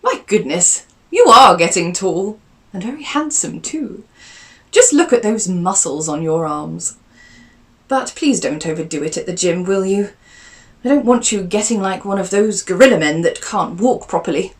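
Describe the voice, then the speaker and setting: A woman's voice: medium pitch, lively intonation, moderate pace, distant mic, natural voice. A woman voicing a genteel aristocratic aunt who is fond and teasing, speaking in a prim, emphatic way and recorded a little off-mic.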